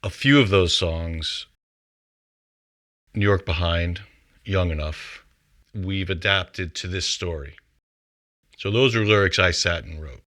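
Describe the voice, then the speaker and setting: A man with a fairly low voice talks casually as a songwriter about his craft. He is reflective, working out his thoughts aloud as he explains. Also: slow, pauses often, fairly bright tone. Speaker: composer and songwriter.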